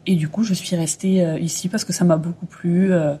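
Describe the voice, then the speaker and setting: Young woman, husky and soft. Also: lowish voice, steady tone, brisk. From France, Occitanie. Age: early 20s. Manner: hesitant, short affirmations. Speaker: thermal spa agent, guest. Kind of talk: radio interview.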